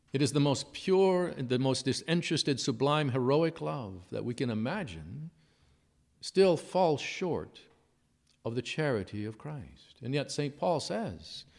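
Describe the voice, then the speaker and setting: A priest reading the gospel and delivering a measured, solemn sermon in a church, with rhetorical questions. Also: male voice, mid-range voice. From United States.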